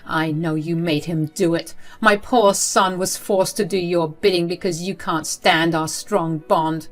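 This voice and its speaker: A woman's voice: lowish voice, steady tone, unhurried, faint music behind, natural voice. A woman voicing a haughty, entitled middle-aged mother-in-law in a melodramatic, accusatory way, as in a told story. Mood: spiteful, accusing.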